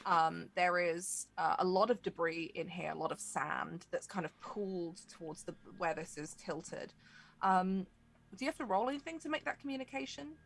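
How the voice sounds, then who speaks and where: A woman's voice: fairly low pitch, moderate pace, creaky, fairly bright tone. A woman narrating a tabletop role-playing game as its game master.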